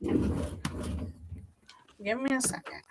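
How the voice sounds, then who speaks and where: A woman co-hosting a casual livestream over a remote link, easygoing, reading out chat names slowly. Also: crowd noise. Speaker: co-host on stream.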